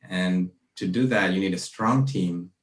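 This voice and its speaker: A man's voice: low voice, measured pace. A young man from Malaysia and Brunei, a podcast guest talking earnestly and reflectively about his work. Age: young adult. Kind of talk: casual interview. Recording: roomy audio from a distance.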